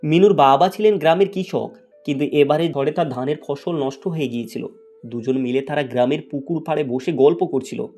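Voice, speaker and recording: Bright-voiced man telling a fairy tale. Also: on the high side, brisk, faint music behind. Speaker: storyteller, narrator. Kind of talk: scripted fairy-tale narration.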